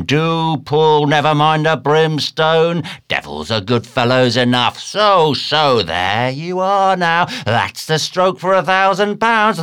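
A man voicing a drawling ship's mate in an audiobook, coaxing and scolding oarsmen, moving between soothing whispers and loud shouts. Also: medium pitch. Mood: rousing, exultant. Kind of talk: audiobook character acting.

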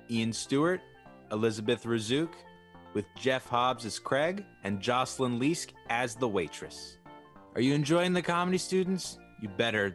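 A man announcing a comedy show in a playful, emphatic manner. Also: medium pitch.

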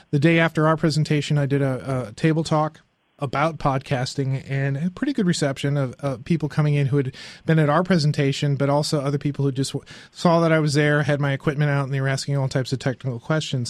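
A man hosting a podcast in casual conversation, halting and often correcting himself as he rambles along. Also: a little high, dry recording. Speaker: podcast host.

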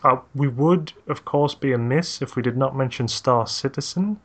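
A man's voice: mid-range voice, normal speed, fairly bright tone. A man hosts a casual podcast about games. He reads facts from his notes and drops jokey asides between them. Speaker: podcast host.

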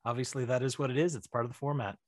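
A man chatting casually as a podcast guest, in a halting, self-deprecating way. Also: medium pitch, steady tone, dry recording, studio quality. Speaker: podcast guest, writer and director. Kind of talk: casual conversation.

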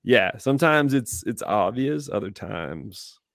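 A man's voice: medium pitch, lively intonation, a little slow, creaky, fairly bright tone, dry recording, clean studio sound. A man in casual chat, a laid-back, rambling storyteller.

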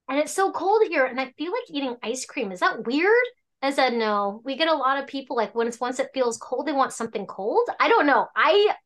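A chatty woman in her forties tells stories in a loose, rambling way, full of restarts and fillers. Her voice sits a little high. She talks casually as a guest on an interview podcast. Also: fairly bright tone. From born in Hong Kong, raised in Alaska. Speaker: tea shop owner, guest.

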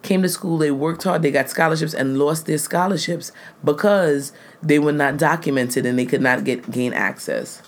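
A man from the United States co-hosting a casual chat, talking in an earnest, emphatic way that gets louder when he makes a point. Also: a little high, normal speed.

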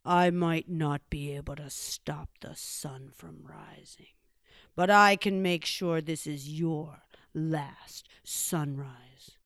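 A woman voicing a big, proud, menacing bear in a storytelling session, breathy and pompous. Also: low-pitched, fairly even intonation, slow pace, dry recording, clean studio sound, pitched below the performer's own voice.

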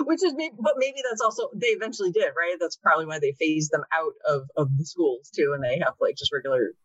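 Woman in her 30s, chatty interview guest, slightly low voice, muffled dry recording. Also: brisk, fairly bright tone, no room sound. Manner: chatty, frequent "like" fillers. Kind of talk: casual conversation. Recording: dull lo-fi sound, dry.

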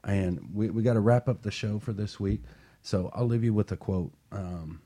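Male panel host, very low and mellow, earnest and conversational. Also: moderate pace. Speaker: talk show host. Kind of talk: casual panel discussion.

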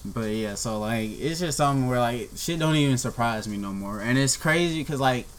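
A young man in casual conversation, telling a story in a relaxed way with many fillers. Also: mid-range voice, normal speed, clear, resonant tone. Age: young adult.

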